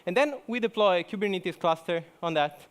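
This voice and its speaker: A young man speaks to a conference audience in a relaxed, joking way. His voice sits a little high, and he often restarts his sentences and fills pauses with "uh" and "um". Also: smooth. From Italy. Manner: chatty, many fillers and restarts. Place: conference hall.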